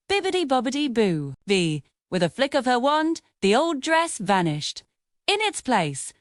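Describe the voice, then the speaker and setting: Animated woman reading a fairy tale. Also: on the high side, no echo, studio quality. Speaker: storyteller. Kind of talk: scripted fairy-tale narration for children.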